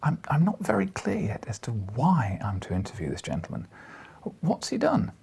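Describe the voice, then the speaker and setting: A man voicing a polite, eager young reporter in an audiobook, asking questions a little haltingly. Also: fairly high pitch, huge pitch swings, gravelly, darkish tone, slight background noise, voice pushed up, exaggerated voice. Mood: puzzled, hesitant.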